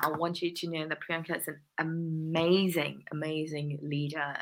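Podcast guest: woman, lower pitch, bright tone, earnest and laughing often. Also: low-quality recording. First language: English. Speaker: guest, workplace diversity consultant. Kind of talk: podcast interview answers.

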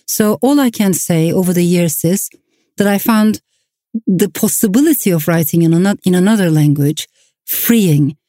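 A middle-aged woman with a Turkish accent, a novelist answering interview questions in a thoughtful, measured way with soft fillers. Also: lowish voice, dry recording, clean studio sound. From Turkey. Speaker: novelist guest. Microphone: remote line from home.

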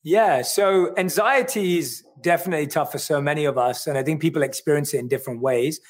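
Earnest man with a London accent. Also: on the high side. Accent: London English. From London, England. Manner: earnest, reflective, explanatory. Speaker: author and guest. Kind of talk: livestream conversation.

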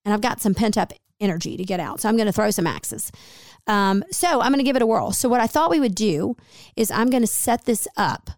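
A raspy-voiced woman in her forties, co-hosting a podcast in a chatty, rambling way full of fillers. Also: medium pitch, lively intonation, a little fast, darkish tone, no room sound, studio quality. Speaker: podcast co-host. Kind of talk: casual podcast chat.

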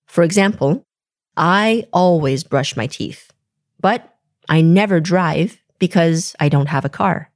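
A woman hosting an English-learning podcast, introducing the episode in a clear, slow teacherly way. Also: low-pitched, darkish tone, no echo. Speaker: podcast host. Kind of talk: scripted lesson narration. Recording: dry studio voice with faint music.

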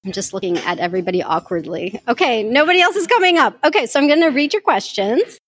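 A woman life coach and podcast host speaks in a fairly high voice, candid and reflective, and she grows animated as she talks. The sound is dry and close, with no room in it. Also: quick pace, slightly rough, dry recording. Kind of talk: live audio chat answering questions.

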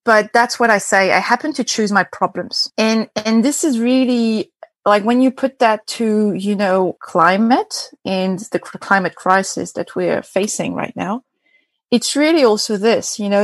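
A woman's voice: mid-range voice, measured pace, dry recording. Young french woman chatting in an interview, lots of restarts and little laughs, dead dry mic sound. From France. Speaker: guest data scientist. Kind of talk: casual interview.

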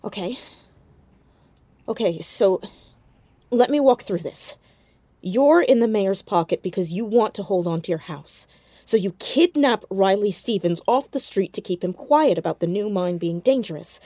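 A woman's voice: medium pitch, animated, unhurried, dark tone, no room sound. A woman playing a fearless, sceptical teenage girl sleuth in a naturalistic audio drama, probing bluntly with wry directness. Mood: reasoning, insistent.

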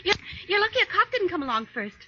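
A woman's voice: extremely high pitch, very animated, fast talker, sharp tone, heavily acted. An actress playing a brassy, kindly, streetwise woman in a 1940s radio drama, talking in familiar, ungrammatical slang. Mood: coaxing. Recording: muffled 1940s radio broadcast.

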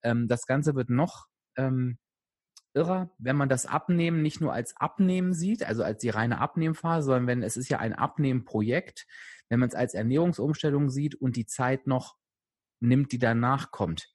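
A man co-hosting a podcast in a conversational, motivational style, speaking emphatically and directly to the listener with a slightly husky voice. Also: mid-range voice, moderate pace, a little mellow, no room sound, clean studio sound. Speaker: podcast co-host.

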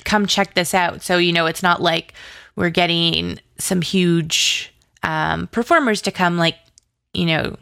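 A woman's voice: lowish voice, fairly even intonation, unhurried, creaky, a bit bright, clear, resonant tone, dry recording, studio quality. A woman chatting casually as an interview guest, effusive and rambling, peppering her talk with "like" and "you know". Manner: effusive, enthusiastic. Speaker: interview guest, audio drama writer and producer.

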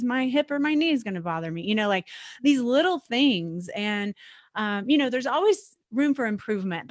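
A woman in her forties hosting an interview, reflecting and thinking out loud in a casual, halting way. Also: mid-range voice, dry recording, clean studio sound. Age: 40s. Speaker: podcast host.

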